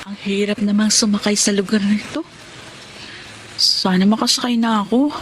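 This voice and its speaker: An actress playing a friendly, concerned older cousin in a radio drama, chatty and exclaiming. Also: female voice, medium pitch, steady tone, moderate pace, background noise, lightly acted. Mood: musing.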